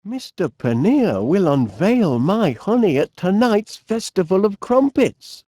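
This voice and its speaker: Bumbling Northern English inventor, mellow, slow-paced, fussily polite, hesitant, keen, cartoon video game character. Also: male voice, high-pitched, big pitch swings, breathy edge, no room sound, studio quality, heavily acted. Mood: cheerful sales pitch. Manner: hesitant, fussily polite, with quaint turns of phrase.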